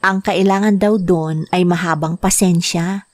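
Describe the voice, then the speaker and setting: An actress playing a young wife and mother who wants to work abroad to help her family, speaking gently and earnestly in a radio drama. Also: on the low side, steady tone, measured pace, no echo, clean studio sound, natural voice.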